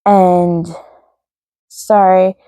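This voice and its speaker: A woman vlogger chatting casually and ramblingly to camera, a little apologetic, her voice tired and starting to go hoarse. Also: lowish voice, little pitch movement, extremely slow, dark tone, no echo. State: tired, low energy.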